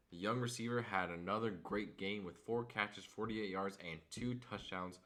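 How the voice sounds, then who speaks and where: A hoarse young man, a frustrated fan, recapping a football game and reading off stats in a casual vlog. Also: on the low side, pushed and bright. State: hoarse from screaming.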